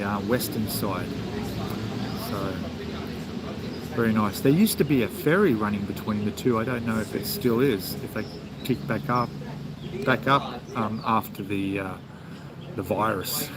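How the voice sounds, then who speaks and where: A man with an Australian accent, hosting a casual travel live stream and answering viewer comments in a rambling, chatty way, with plenty of ums and restarts. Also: mid-range voice. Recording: clear outdoor background noise.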